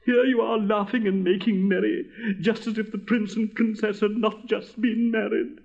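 A man's voice: high voice, little pitch movement, moderate pace, vocal fry, highs cut off, pitched above the performer's own voice. A man voicing a vain, pompous, easily offended firework rocket in a storybook reading. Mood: indignant, lofty. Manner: pompous, severe, indignant.

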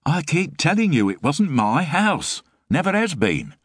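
A man's voice: fairly high pitch, sing-song, unhurried, a bit bright, no room sound, studio quality, pitched above the performer's own voice, heavily acted. A man voicing a scruffy, hungover, cheeky detective. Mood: grumbling. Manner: cheeky, grumbling.

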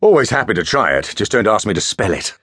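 A man's voice: fairly low pitch, sing-song, quick pace, a bit bright, husky, no room sound, clean studio sound, pitched above the performer's own voice, exaggerated voice. A man voicing an earnest, eager teenage schoolboy in a storybook reading.

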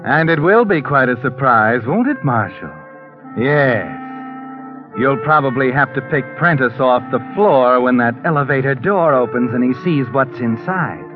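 An actor playing a knowing, sardonic narrator of a 1940s radio mystery, taunting and suspenseful. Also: male voice, mid-range voice. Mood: taunting, tense. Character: sinister, knowing, all-seeing narrator taunting the schemer. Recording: muffled old radio recording, music behind.

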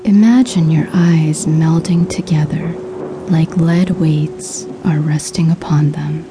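Slow, hushed woman guiding sleep hypnosis. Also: sits low, fairly even intonation, over music. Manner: soothing, hushed, breathy delivery with measured pauses. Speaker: hypnosis audiobook narrator. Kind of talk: guided sleep hypnosis, scripted meditation narration.

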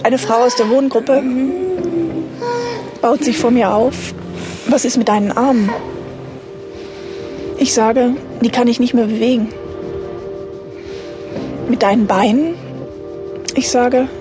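A woman in her thirties with a fairly high voice narrates her own story. She speaks in short, deliberate phrases and keeps it matter-of-fact. Music plays clearly behind her. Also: steady tone. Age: 30s. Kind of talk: scripted autobiographical audiobook narration.

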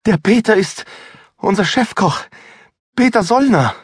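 A man voicing a frightened young female kitchen helper who stammers in excitement. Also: a little high, moderate pace, husky, no echo, clean studio sound, voice pushed up. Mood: distraught.

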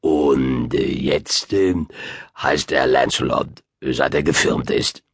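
A man voicing a muddled, well-meaning old wizard in an audiobook, halting and full of ums and chuckles. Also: a bass voice, steady tone, husky, dry recording, clean studio sound, voice pushed down, heavily acted. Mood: halting, matter-of-fact. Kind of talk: audiobook character voice.

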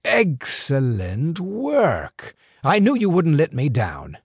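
A man doing a slow, scheming crime boss. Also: on the high side, wildly swooping pitch, breathy edge, dry recording, studio quality, voice pushed up, heavily acted. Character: smooth, scheming older male crime boss.

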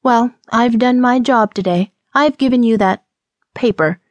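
A woman's voice: on the high side, measured pace, no room sound, pitched above the performer's own voice, lightly acted. A woman voicing a prim, easily scandalized Old West temperance lady with proper, formal speech, in an audiobook. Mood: prim, indignant.

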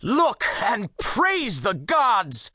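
An actor playing a bold, wary ancient Greek sea captain in an audiobook, with a commanding, declamatory manner. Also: male voice, high-pitched, wildly swooping pitch, extremely slow, pushed and bright, no echo, studio quality, full character voice. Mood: rousing. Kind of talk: full-cast audiobook acting.

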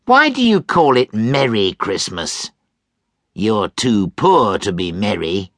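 A man's voice: fairly low pitch, sing-song, slow pace, a bit bright, no echo, studio quality, full character voice. A man voicing a cross, miserly old man in a storybook reading, snapping and scornful.

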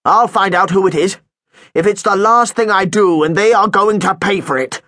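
A man's voice: high-pitched, moderate pace, bright, forceful tone, no room sound, clean studio sound, voice pushed up. Man voicing a snapping, stern headteacher. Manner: snapping, impatient, accusing. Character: stern male school headteacher, irritable.

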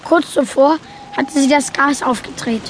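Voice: sits high, measured pace, fairly bright tone, slight background noise, low-quality recording. An actor playing an earnest, quirky young boy who narrates his story matter-of-factly in a children's audio drama. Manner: matter-of-fact, childlike, explanatory. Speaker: narrator and main character.

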